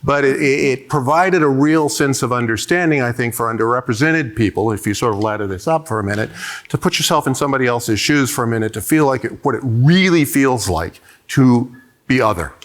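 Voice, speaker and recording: A man's voice: mid-range voice, animated, fairly quick, a bit bright. A middle-aged man telling a personal story on a conference panel, earnest, with frequent hesitations. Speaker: panelist, diversity executive.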